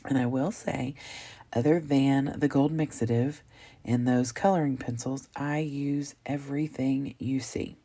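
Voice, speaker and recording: A woman with a low, mellow voice, a little froggy and hoarse, narrates her scrapbooking process. She talks casually and meanders, full of ums and quick self-corrections. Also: steady tone. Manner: chatty, rambling, with frequent ums and self-corrections. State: slightly hoarse, froggy throat from allergies. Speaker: craft video host.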